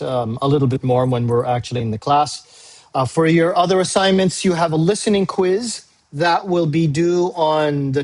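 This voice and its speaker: A male university English teacher, a native Tagalog speaker, recording a class briefing video; he talks patiently, repeats key dates and fills pauses with "uh". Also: a little high, darkish tone. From Philippines. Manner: frequent "uh" fillers.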